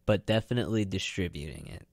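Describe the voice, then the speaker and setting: Rambling low-voiced man chatting on radio. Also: fast, a little mellow, no room sound. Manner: rambling, repeats phrases as he argues a point. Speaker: radio show co-host. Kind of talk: casual opinionated chat. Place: radio studio.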